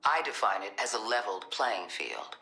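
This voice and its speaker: Middle-aged female director, video game, authoritative, coolly manipulative, sharp tone, echoing room. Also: extremely low pitch, expressive, exaggerated voice. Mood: firm, controlled. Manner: measured, authoritative.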